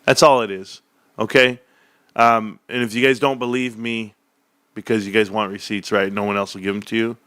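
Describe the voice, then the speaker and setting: A man's voice: lowish voice, level tone, moderate pace, fairly bright tone, clear, resonant tone, dry recording. A young man hosting a stock commentary stream, ranting in a combative, sarcastic way as he reads out messages and posts. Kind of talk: heated casual rant.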